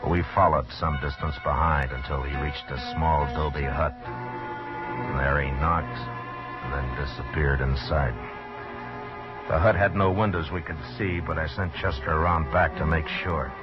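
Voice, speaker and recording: A hard-bitten frontier marshal in a radio western. His very low voice is terse, grim and commanding. The vintage recording sounds muffled, with music in places. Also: steady tone, unhurried. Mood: grim narration. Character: stern, hard-bitten US marshal narrating his own story. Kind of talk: old-time radio western acting and first-person narration.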